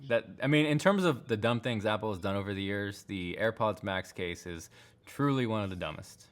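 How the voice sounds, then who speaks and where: A man's voice: on the low side, expressive, brisk. A man speaking as a podcast guest in a casual, thoughtful and opinionated tech discussion.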